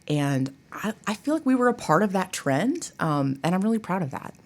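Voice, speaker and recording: A woman's voice: on the low side, big pitch swings, moderate pace, darkish tone. A woman chatting informally and expressively as an interview guest, like a college theatre professor. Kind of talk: casual interview chat.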